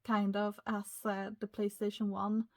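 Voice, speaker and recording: A woman from Sweden with a Swedish accent, speaking English as a second language, chatting excitedly as she unboxes a retro game and reads the packaging aloud. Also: medium pitch, a little slow. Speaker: YouTuber. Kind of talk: casual unboxing chat.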